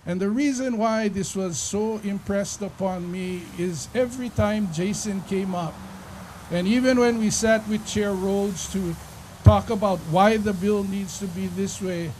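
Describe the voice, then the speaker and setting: Man, legislator, high-pitched, emphatic public speech, faint background noise. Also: steady tone, measured pace, a little mellow, rough recording. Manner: impassioned, emphatic, builds through lists. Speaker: state legislator giving a speech. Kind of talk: public speech at a bill signing.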